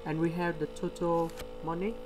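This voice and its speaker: A man with a delivery, explaining a word problem step by step in a screen-recorded lesson. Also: a little high. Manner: slow, step-by-step explaining with pauses. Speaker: tutor. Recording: steady electrical hum behind.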